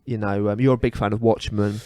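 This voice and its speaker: A man's voice: lowish voice, level tone, a little fast, darkish tone, smooth, dry recording, clean studio sound. A man co-hosting a podcast in casual, opinionated chat, speaking emphatically. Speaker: podcast co-host.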